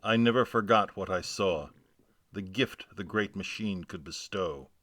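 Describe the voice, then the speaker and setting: A man voicing a proud, haunted alien pirate baron, theatrical and forceful, in a dramatic game lore reading. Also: deep voice, unhurried, dry recording, studio quality. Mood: proud, bitter.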